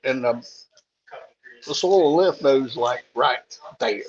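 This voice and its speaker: A man with a hoarse voice, chatting casually and plain-spoken on a live stream, laughing things off. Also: medium pitch, slow. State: hoarse after a throat tube. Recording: dull lo-fi call audio.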